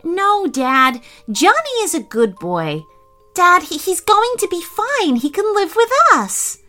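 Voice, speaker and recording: A woman plays a kind little girl in a storybook, very high and bright, with pitch leaping around. She talks earnestly and pleads when she is in trouble. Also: very animated, slow, soft background music, exaggerated voice. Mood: pleading. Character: kind, caring young girl.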